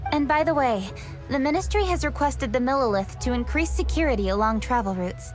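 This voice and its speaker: An actress voicing a brisk, hardworking young official in a game, giving crisp, businesslike orders. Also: female voice, high voice, expressive, faint music behind. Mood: commanding. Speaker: game character. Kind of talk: game cutscene voice acting.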